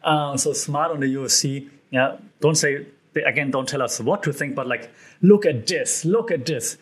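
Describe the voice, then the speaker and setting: A man hosting a solo podcast, talking in a casual, chatty way with lots of fillers and restarts, his voice a bit hoarse. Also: on the high side, moderate pace. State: slightly hoarse. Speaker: podcast host.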